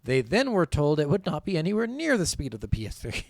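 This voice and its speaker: A man's voice: fairly high pitch, huge pitch swings, fairly quick, dry recording, studio quality. A man co-hosting a casual gaming podcast, talking bluntly and swearing freely, sometimes reading from notes. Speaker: podcast co-host.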